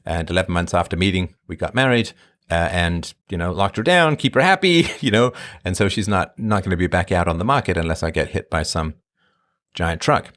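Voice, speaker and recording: A middle-aged man from Ireland and England, rambling casually as a host answering his live chat. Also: a bit bright. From born in Ireland, grew up in England. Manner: rambling, conversational, emphatic swings in pitch. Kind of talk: casual monologue.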